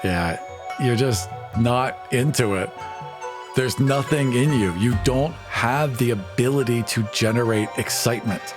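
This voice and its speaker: Male game master over loud music. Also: medium pitch, measured pace. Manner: calm, descriptive, laughs often. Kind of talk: narrating a tabletop game.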